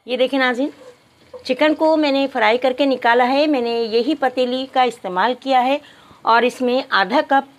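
A woman narrating a cooking tutorial step by step, addressing viewers politely. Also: fairly high pitch. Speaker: cooking channel host.

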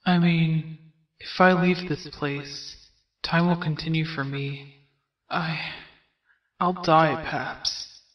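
A man voicing a world-weary, sardonic skeleton brother in a comic dub, with a hesitant, deadpan drawl. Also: on the high side, slow, pauses often, far from the mic, dull audio. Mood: sad, reluctant. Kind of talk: comic dub character acting.

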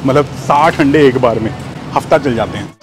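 A man's voice: medium pitch, normal speed, background noise. A man in his thirties chatting casually to camera while shopping in a large supermarket, reading out prices, filmed on a handheld phone. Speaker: vlogger. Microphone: phone held at arm's length.

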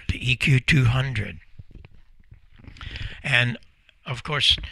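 Older American man, bright gravelly voice. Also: mid-range voice, no room sound. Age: 70s or older. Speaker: presenter, company founder. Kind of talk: informal presentation, storytelling. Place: small indoor ham radio room, seated at desk. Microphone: desk microphone close in front.

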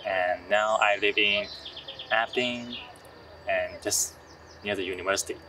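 An earnest young man from China speaks in a slightly low voice. He sits outdoors, a little away from the mic, with faint noise behind him. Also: fairly even intonation, measured pace, far from the mic. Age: 20s. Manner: earnest, with some restarts. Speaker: master's student. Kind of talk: documentary interview. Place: outdoors on a bench among trees.

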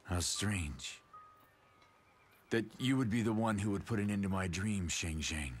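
An actor playing a proud, bold young warlord in a video game cutscene. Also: male voice, low-pitched, a little slow, soft background music. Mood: bitter, defiant. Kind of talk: English-dubbed video game cutscene acting.

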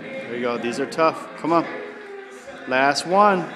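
A man's voice: medium pitch, animated, slow pace. Encouraging male trainer in an echoing gym. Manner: encouraging, counting reps aloud. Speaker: personal trainer. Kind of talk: coaching and commentary. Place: gym studio, indoors. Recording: camera audio in a large echoing gym with music.

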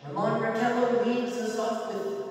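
A man's voice: very high-pitched, little pitch movement, moderate pace, pitched above the performer's own voice. A man playing a formal ballroom master of ceremonies in a 1930s-style radio play. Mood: formal, welcoming. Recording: very echoey hall, distant from mic.

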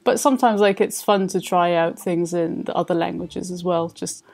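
A woman's voice: lowish voice, moderate pace, gravelly, darkish tone. A woman from the UK speaking as a listener, chatty and casual. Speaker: listener contributor.

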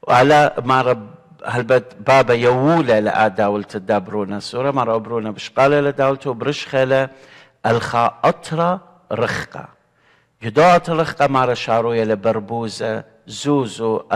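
A middle-aged man with an Assyrian accent, a deacon teaching scripture in an earnest, expressive way from a desk in an indoor studio. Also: mid-range voice, moderate pace, big-room sound. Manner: earnest, gesturing, expressive preaching. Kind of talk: bible study teaching. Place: indoor studio before a painted church backdrop.

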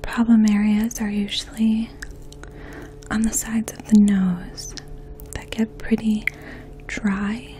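A woman in her twenties speaking in a soft, hushed, breathy voice, gently explaining things as a makeup artist in an ASMR role play. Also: medium pitch, slow pace. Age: 20s. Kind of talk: ASMR role play, addressing the listener as a client. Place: small indoor room. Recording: faint steady outdoor hum. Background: distant lawn mower.

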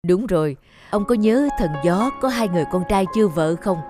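A woman's voice: mid-range voice, animated, brisk, dark tone, faint music behind, lightly acted. A woman voicing a caring fairy-tale queen and mother in a cartoon, persuasive and motherly in manner.

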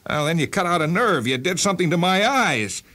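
A man's voice: high-pitched, lively intonation, a little fast, sharp tone, heavily acted. A man playing a cranky middle-aged store owner who grumbles and complains irritably in a radio-style story. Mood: confused, angry. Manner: irritable, grumbling, hesitant starts.

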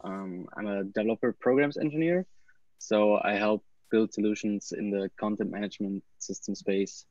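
A man's voice: lowish voice, moderate pace, a little mellow, no echo. Young man, dry lo-fi call audio. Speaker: guest, developer. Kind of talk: casual video call chat. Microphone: video call.

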